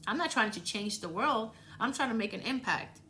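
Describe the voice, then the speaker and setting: A woman's voice: a little high, lively intonation, normal speed, sharp tone, slight background noise. A woman from the Dominican Republic with a Dominican accent, hosting a motivational podcast and coaching listeners earnestly, often repeating words for emphasis. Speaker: podcast host.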